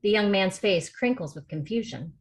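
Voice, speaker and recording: A woman's voice: medium pitch, expressive, moderate pace. A woman reading scripted screenplay narration as the stage-direction reader on a video call.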